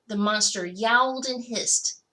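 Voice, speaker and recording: A woman's voice: fairly high pitch, measured pace, bright, forceful tone. A woman reading a children's picture book aloud expressively, stretching out key words for emphasis. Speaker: school technology coordinator reading to children.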